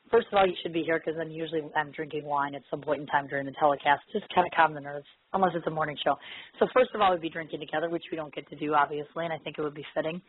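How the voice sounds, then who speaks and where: A woman hosting a casual, chatty internet radio interview, her voice hoarse and husky from laryngitis. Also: deep voice. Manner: chatty, informal. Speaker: internet radio show host. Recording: muffled, lo-fi radio connection.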